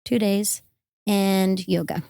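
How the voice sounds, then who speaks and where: A young adult woman, slightly hoarse from karaoke, chatting casually as a podcast guest with many fillers and trailing phrases. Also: mid-range voice, fairly even intonation, takes it slowly, warm tone, no echo, clean studio sound. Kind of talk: casual interview chat. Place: van-life festival in the desert.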